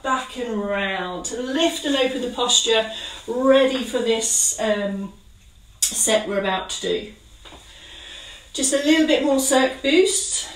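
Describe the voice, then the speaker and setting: Calm woman leading exercises. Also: fairly high pitch, far from the mic. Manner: calm, encouraging step-by-step cues. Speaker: exercise instructor. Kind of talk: guided exercise class. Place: kitchen. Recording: roomy sound from away from the mic.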